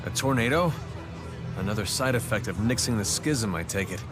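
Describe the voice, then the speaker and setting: An actor playing a wry, worldly fantasy party member in a video game, with a casual, dry manner. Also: male voice, fairly low pitch, lively intonation, normal speed, close to an everyday voice. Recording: loud music behind the voice. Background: loud background music.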